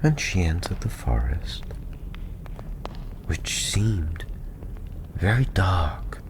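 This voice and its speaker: A man softly narrating a fantasy story in ASMR style, breathy and often half-whispered, soothing and unhurried. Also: low-pitched. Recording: steady ambient background noise.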